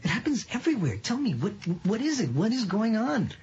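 An actor playing an anxious, excitable patient in a comic ad, confiding haltingly and earnestly to a doctor. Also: medium pitch, slightly rough, dull audio, heavily acted. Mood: urgent, excited. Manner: halting, earnest, building to urgency. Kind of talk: comic ad acting.

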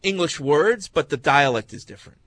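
Higher-voiced man hosting sports radio. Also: animated, normal speed, a bit bright, dry recording. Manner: hesitant, many fillers and restarts. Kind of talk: sports talk radio chat. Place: radio studio.

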